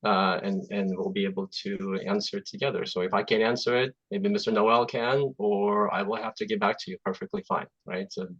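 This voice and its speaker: A man in his forties whose first language is Russian, born in Azerbaijan, giving a relaxed, chatty talk as a guest software engineer over a video call, with many fillers and restarts. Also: lowish voice, a little fast, hardly any pauses, lo-fi sound. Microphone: computer mic on video call.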